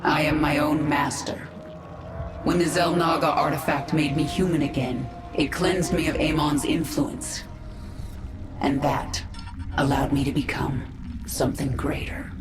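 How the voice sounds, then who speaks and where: A woman's voice: low voice, fairly even intonation, unhurried, music in the background. An actress playing a powerful reborn alien swarm queen, cool and commanding. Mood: confident. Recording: roomy echo.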